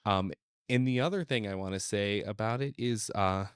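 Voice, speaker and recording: Man, low voice, very dry sound, casual podcast chat, halting, thinking aloud. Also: clean tone, dry recording. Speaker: podcast co-host.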